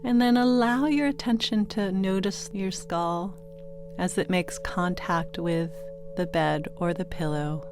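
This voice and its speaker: Female meditation guide for sleep audio: lowish register, very slow, gentle delivery over quiet music. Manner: gentle, soothing, unhurried instruction with pauses. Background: meditation music with singing bowl tones.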